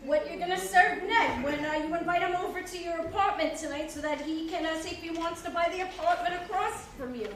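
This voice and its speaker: A woman's voice: very high-pitched, fairly quick, hardly any pauses, fairly bright tone. A woman reading the part of a bossy, sarcastic, meddling friend in a stage play. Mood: playful, pushy. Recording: distant echoey stage recording with noise.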